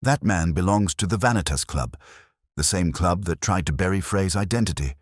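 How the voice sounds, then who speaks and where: A man voicing a shrewd, grave detective in an audiobook, speaking deliberately and pressing his point. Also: low-pitched, no echo, studio quality. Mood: grave, probing. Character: shrewd, grave, probing male Victorian detective. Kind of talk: audiobook character dialogue.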